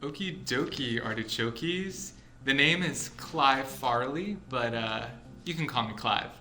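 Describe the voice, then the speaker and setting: Goofy, smug male grad-student teacher, acted by a man: drawn-out wry delivery, mid pitch, roomy echo. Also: expressive, music in the background, natural voice. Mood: smug, playful. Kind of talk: comedy acting, addressing a classroom. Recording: echoey room.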